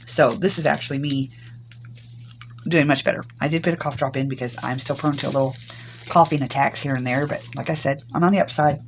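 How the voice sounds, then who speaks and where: An older woman from Arkansas, hoarse from recent illness, chatting through a rambling crafting tutorial. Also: very deep voice, fairly even intonation, a little fast, a little hiss or hum. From Arkansas, US South. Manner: rambling, homey, many ums. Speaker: craft channel host.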